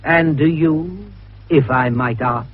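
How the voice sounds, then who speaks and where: A man playing a gruff, sceptical, exasperated British police superintendent in 1950s radio drama, blustering and sardonic. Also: a little high, takes it slowly. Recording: tinny, noisy vintage radio recording.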